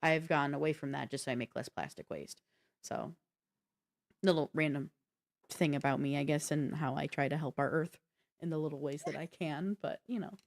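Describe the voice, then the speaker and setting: A woman with a notably low-pitched voice chats as co-host of a gaming podcast. Her delivery is casual and informal. She rambles a little, talking as if swapping personal stories with a friend. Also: normal speed. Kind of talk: casual chat.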